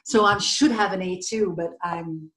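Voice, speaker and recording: Flemish-accented woman, interview guest, lower pitch, thoughtful and hesitant delivery. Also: normal speed, rough recording. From Belgium, Flanders. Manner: thoughtful, many fillers, light self-deprecating laughs. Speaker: guest, translator. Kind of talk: casual interview chat.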